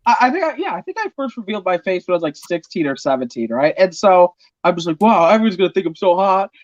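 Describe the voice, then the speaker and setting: Young man, chatty and self-deprecating, very animated pitch, dull dry mic. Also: quick pace, no room sound. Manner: chatty, self-mocking, giggly. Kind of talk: casual chat on a live stream call. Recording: slightly muffled dry lo-fi mic.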